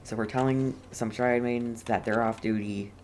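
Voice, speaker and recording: A woman's voice: rumbling low, fairly even intonation, moderate pace, darkish tone. A woman giving casual, playful commentary as she streams a game, muttering reactions to herself. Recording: faint noise, keyboard typing behind. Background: keyboard typing.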